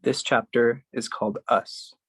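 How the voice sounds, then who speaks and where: A solo performer delivering a poetic monologue in a theatrical, deliberate way, with dramatic pauses and repetition. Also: medium pitch, steady tone, a bit bright, breathy edge.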